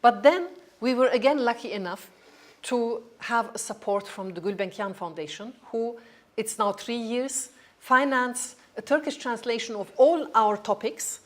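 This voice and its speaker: A woman with a slightly high voice gives a lecture talk. She speaks, and her is Armenian. She pauses often, fills the gaps with ums, and restarts her phrases. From Germany. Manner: hesitant, with frequent ums and restarts. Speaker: project founder speaking at an academic event.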